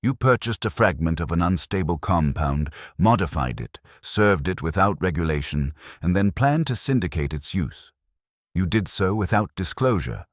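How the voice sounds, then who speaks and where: A man voicing an imperious, unhurried detective who never leaves his chair, speaking in a cold, precise, commanding way. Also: deep voice, animated, no room sound, studio quality. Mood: stern.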